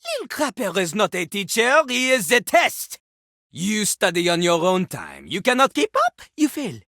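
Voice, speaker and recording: A woman's voice: mid-range voice, wildly swooping pitch, moderate pace, fairly bright tone, no room sound, clean studio sound. A woman voicing a boastful, vulgar male French rapper toilet in a video game, with a French accent and a pompous, theatrical delivery that slips into rap. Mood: scornful, challenging. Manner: pompous, refers to himself in the third person.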